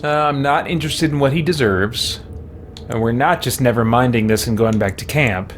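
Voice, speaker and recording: A man giving casual game commentary, haltingly thinking aloud with mild folksy exclamations. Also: medium pitch, slight background noise. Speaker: let's play host. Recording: loud game music behind. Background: video game music.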